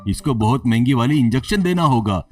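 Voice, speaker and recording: A man's voice: medium pitch, animated, fairly quick, recorded from a distance, soft background music, pitched below the performer's own voice, lightly acted. A man voicing a serious, firm government doctor who gives grave instructions in a storybook tale. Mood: grave, urgent. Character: grave male government hospital doctor, firm and matter-of-fact.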